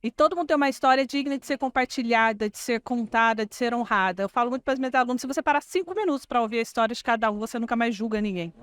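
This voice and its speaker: A woman, a guest telling her life story in an expressive, heartfelt way. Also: a little high, racing, few pauses, clear, resonant tone, dry recording. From Minas Gerais, Brazil. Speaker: guest, franchise founder. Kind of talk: relaxed interview chat.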